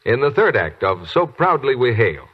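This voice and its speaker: An American man with a husky voice doing scripted 1940s radio announcing and advertising. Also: medium pitch, big pitch swings, normal speed. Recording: dull 1940s radio recording.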